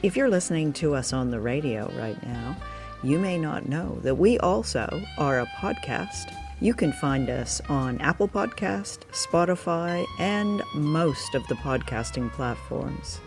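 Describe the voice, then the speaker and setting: A woman with a notably low voice hosts a radio show and podcast. She chats casually, sounding engaged and inquisitive. There is faint music under her voice. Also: expressive, unhurried. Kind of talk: casual chat about old radio drama.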